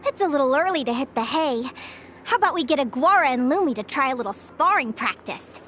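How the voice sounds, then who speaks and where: A woman's voice: high-pitched, lively intonation, fairly quick, fairly bright tone, slight background noise. A woman voicing a young, curious bird fae explorer in a video game, talking in an eager, wondering way. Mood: friendly. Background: game music.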